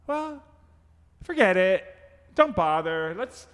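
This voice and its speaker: Radio-play son, male actor: fussy, overbearing foodie, highish voice, big pitch swings, hall reverb. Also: very animated, slow pace, fairly bright tone, clean tone, full character voice. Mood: hesitant, mellowed. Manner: fussy, dramatic. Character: overbearing fussy gourmet son, easily panicked, whiny. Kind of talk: live radio theater comedy sketch. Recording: reverberant hall stage recording.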